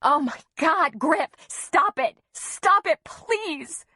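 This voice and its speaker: A woman's voice: medium pitch, takes it slowly, dry recording. A woman narrator acts out a pregnant mother under attack in an audiobook drama. She is emotional and pleading, defiant yet frightened, in a dry, close sound. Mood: panicked.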